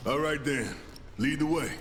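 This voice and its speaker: An actor playing a brash, passionate rebel leader in a video game, with a preachy, theatrical, folksy delivery. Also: male voice, on the high side, normal speed, a little hiss or hum, close to an everyday voice. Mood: gruff, wry. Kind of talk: dramatic video game acting. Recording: loud music behind the voice. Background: loud game score.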